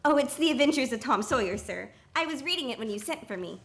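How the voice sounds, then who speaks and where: A woman's voice: high voice, animated, fast, fairly bright tone. A woman playing an eager, flustered, polite apprentice angel in a live radio play. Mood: hopeful, sheepish. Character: eager, flustered apprentice female angel, over two hundred years old. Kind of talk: live vintage-style radio play acting.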